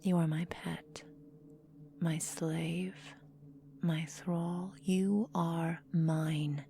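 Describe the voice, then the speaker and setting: A woman voicing a menacing, possessive vampire mistress in a whispered ASMR roleplay, calm and deliberate, breathy, close to the microphone. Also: low-pitched, a little hiss or hum, exaggerated voice. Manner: slow, breathy, deliberate, coolly domineering.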